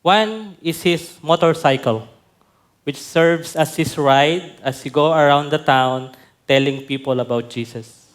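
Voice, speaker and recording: A male pastor giving a conference talk, telling a personal story in a slow, reflective way. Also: fairly high pitch. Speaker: pastor, speaker. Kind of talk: sermon talk.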